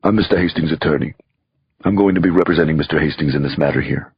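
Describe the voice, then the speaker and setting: Audiobook character played by a male narrator: brash working-class attorney, Brooklyn accent, extremely low, rough voice. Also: quick pace, dry recording, dull audio, heavily acted. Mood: smooth, firm. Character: brash blue-collar Brooklyn male lawyer, blunt and cocky.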